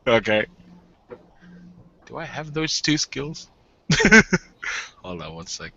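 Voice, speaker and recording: A man playing a tabletop roleplaying game, narrating casually and joking in an expressive way. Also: mid-range voice, slow pace, vocal fry, husky, muffled recording.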